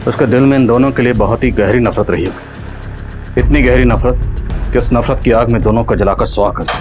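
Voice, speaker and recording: A man's voice: mid-range voice, moderate pace, music in the background, close to an everyday voice. An actor playing a stern male police inspector who questions witnesses in a commanding, probing way. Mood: grim. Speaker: police officer. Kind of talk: television crime drama acting.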